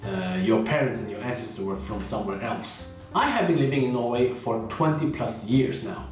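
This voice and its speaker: A man's voice: mid-range voice, lively intonation, normal speed. A man from China, talking casually to camera, full of fillers like "you know" and "uh". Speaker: vlogger. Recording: roomy echo, heard from a distance, with faint guitar music.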